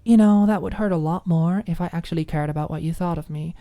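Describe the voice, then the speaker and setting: A woman's voice: on the low side, fast talker, mellow, pitched above the performer's own voice, natural voice. A woman voicing a proud, condescending college woman with a biting, taunting way of talking in an audiobook.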